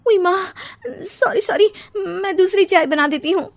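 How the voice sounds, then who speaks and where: A woman's voice: extremely high pitch, a little slow, dry recording, studio quality, pitched above the performer's own voice. A woman voicing a breezy young fitness-obsessed daughter-in-law in a cartoon story, dropping in English phrases. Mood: flustered apology. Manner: drops English phrases into speech.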